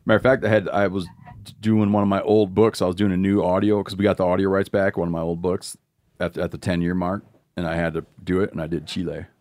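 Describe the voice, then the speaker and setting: A man's voice: sits low, flat delivery, quick pace, darkish tone. Male podcast host, casual chat, reads listener mail, joking asides.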